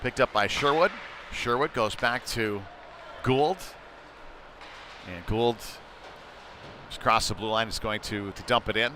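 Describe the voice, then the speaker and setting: A man's voice: medium pitch, unhurried, pauses often, a little hiss or hum. A man giving informal, conversational play-by-play commentary on an amateur hockey game. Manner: conversational and informal, excited when play heats up. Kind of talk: live amateur sports commentary.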